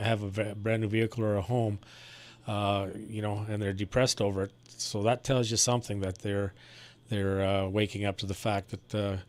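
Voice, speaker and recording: A man chatting casually on amateur radio in a halting, grumbling way. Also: fairly low pitch, a little mellow, smooth.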